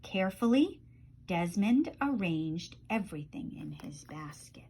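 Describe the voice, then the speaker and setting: A woman reading a children's storybook aloud in an expressive storytelling style, letting phrase endings drop softly. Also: on the low side, a little slow, slightly rough.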